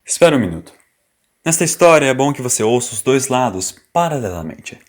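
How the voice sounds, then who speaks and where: A man playing an audio drama narrator who addresses the listener directly in a measured manner. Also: medium pitch, sing-song, lightly acted. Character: interrupting storyteller narrator weighing both sides. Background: music.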